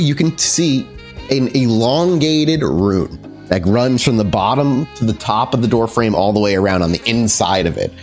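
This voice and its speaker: A man narrating as the storyteller of a tabletop role-playing game, in a loose, animated manner with casual swearing. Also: medium pitch.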